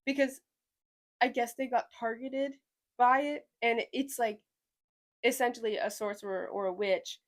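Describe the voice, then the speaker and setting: A woman's voice: fairly high pitch, fairly even intonation, unhurried, long pauses. A young woman from Sweden with a slight Southern US twang, hosting a podcast in a rambling, chatty way with lots of hedging. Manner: rambling, chatty, hedges a lot. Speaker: podcast host. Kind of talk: casual chat.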